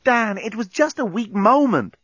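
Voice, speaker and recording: An exasperated, defiant woman acted out by a male narrator in an audiobook. The voice is pushed well up in pitch and swings widely, indignant and scolding, with emphatic stresses. It sits in a very dry recording. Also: lively intonation, unhurried, a bit bright, husky, no room sound, lo-fi sound, heavily acted. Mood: defensive, indignant. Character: exasperated, defiant woman in a long-term relationship. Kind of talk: audiobook character voice.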